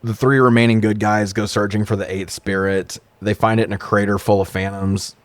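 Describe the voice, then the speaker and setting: A man's voice: fairly low pitch, little pitch movement, a little fast, dry recording. An American man co-hosting a casual comedic movie recap, sarcastic and freely swearing. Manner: profane, sarcastic. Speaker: podcast co-host.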